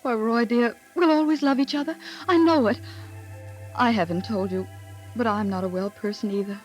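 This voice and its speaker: A frail, romantic young woman with a fairly high voice, played by an actress, ardent and wistful in a vintage radio melodrama. The old 1930s broadcast recording has faint music behind her. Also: normal speed, highs cut off, natural voice. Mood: pleading, devoted. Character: frail romantic girl of nineteen, ardently devoted. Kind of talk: 1930s radio drama acting.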